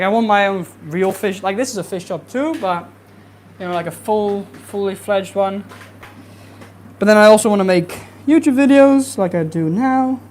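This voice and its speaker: A young adult livestreamer talks casually from a home fish room, with a soft, relaxing voice. The speech rambles along with easy fillers, in the middle of the pitch range, over a faint background hiss. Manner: easygoing, rambling, many fillers. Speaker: fishkeeping streamer. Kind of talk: casual livestream chat while cleaning tanks.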